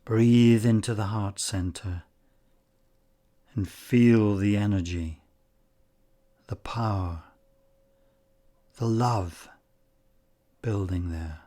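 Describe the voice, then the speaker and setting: A man leading a guided meditation in calm, measured short phrases with long pauses. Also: on the low side.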